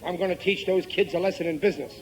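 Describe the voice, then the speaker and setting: A man's voice: sits high, steady tone, racing, lightly acted. An actor playing a stubborn, petty working-class family man, folksy and blustering, in an old-time radio sitcom. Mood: scheming, indignant. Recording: noisy vintage 1950s radio broadcast.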